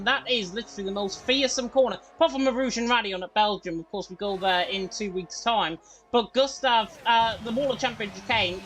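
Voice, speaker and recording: A man calling a sim racing qualifying session, his voice a little croaky from being unwell. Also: extremely high pitch, rough recording. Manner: fast, excited play-by-play. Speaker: lead commentator.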